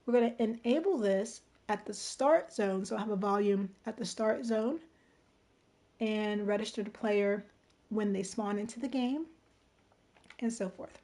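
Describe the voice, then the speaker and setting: The woman you'd find on a late livestream walking viewers through a game-building tool, informal, soft-toned and a little hoarse from talking. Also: medium pitch, unhurried. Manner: informal, step-by-step explaining, checking in with "right?" and "okay?". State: slightly hoarse, strained voice. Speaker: livestream tutorial host. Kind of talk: casual game-design tutorial.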